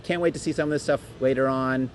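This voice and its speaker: A middle-aged man co-hosts a podcast, his voice a little worn and hoarse from a long week. He rambles along, restarting sentences and throwing in jokes. There is a faint hum of noise behind him. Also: mid-range voice, steady tone, fast talker. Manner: rambling, self-interrupting and joking. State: voice going hoarse. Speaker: podcast co-host. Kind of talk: casual podcast chat. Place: busy conference venue.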